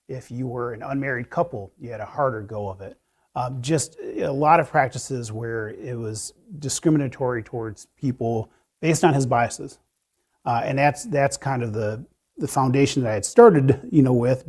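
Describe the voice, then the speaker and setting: A man's voice: medium pitch, a little mellow, breathy edge. A man in his fifties, a mortgage lender on a panel, sharing personal stories in an earnest, reflective way with lots of "you know" fillers. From USA.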